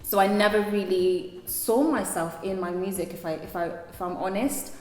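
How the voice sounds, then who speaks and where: A singer being interviewed, a woman with a deep speaking voice who talks thoughtfully with many fillers and restarts. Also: moderate pace, a little mellow, reverberant, big-room sound, rough recording. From London. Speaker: singer, guest. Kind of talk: casual interview talk.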